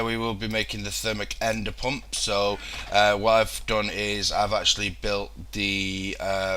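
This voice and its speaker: Low-voiced young man, rambling. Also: bright, forceful tone, a little hiss or hum. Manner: rambling, many ums and restarts. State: getting a throat infection. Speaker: let's play host. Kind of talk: casual gameplay commentary.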